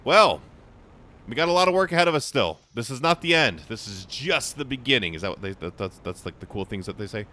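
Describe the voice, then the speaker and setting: Hoarse young man, casual gameplay talk, bright tense tone, rambling, restarts often. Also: mid-range voice, very animated, fairly quick. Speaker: gaming channel host.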